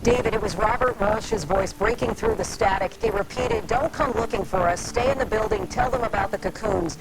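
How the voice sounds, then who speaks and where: A woman's voice: on the high side, lively intonation, normal speed, creaky, low-quality recording. A woman playing a grave, urgent field reporter under siege in a scripted apocalyptic drama, heard through static. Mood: grave, alarmed. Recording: heavy static and noise over field transmission.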